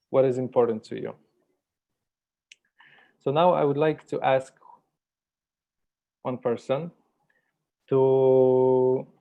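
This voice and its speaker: A man facilitating a workshop, speaking in a measured, deliberate way, like someone guiding a group through an exercise. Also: medium pitch, vocal fry, phone-quality sound. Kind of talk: guided meditation and workshop facilitation. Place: virtual workshop over video call.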